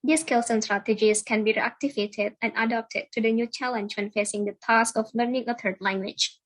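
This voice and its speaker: Female student presenter, a little high in pitch, formal scripted academic read. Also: fairly even intonation, normal speed. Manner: formal, reading from prepared text.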